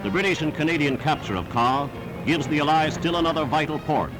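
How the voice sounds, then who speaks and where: A man's voice: fairly high pitch, a little fast, low-quality recording. A man narrating a 1940s wartime newsreel in a formal, declamatory scripted style. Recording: 1940s newsreel soundtrack with music.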